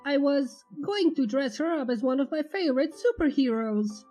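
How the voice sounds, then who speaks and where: Girl doing a high excitable skeleton dude, jumpy and eager, kinda mellow tone, storybook reading. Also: normal speed, faint music behind. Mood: hesitant, puzzled. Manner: exclamatory, stammering when unsure. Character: excitable, easily startled, eager male skeleton, childlike at heart. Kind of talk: acted fan fiction reading.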